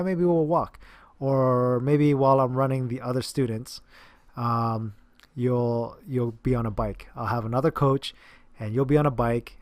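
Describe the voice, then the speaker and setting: A man's voice: mid-range voice, darkish tone, dry recording. Earnest man rambling with many fillers. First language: English. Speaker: personal trainer and coach. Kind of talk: casual talk to camera.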